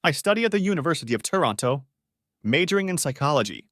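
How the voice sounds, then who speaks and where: A man teaching beginner English, reading short model sentences clearly and saying each one twice. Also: a little high, very animated, a bit bright, dry recording, studio quality. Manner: says each phrase twice, clearly and deliberately.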